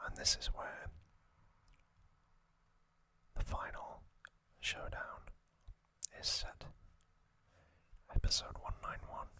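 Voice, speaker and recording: A man whispers an ASMR recap with no voiced tone at all. His delivery is very slow and deliberate, broken into short phrases with pauses. The whisper is breathy and crisp on top, staying even throughout. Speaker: ASMR host.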